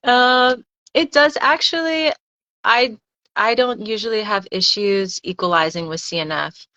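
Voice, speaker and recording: Bright-voiced woman on a dull dry line. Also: mid-range voice, dry recording. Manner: thoughtful, halting, repeats words while explaining. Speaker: freediver guest. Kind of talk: casual podcast interview.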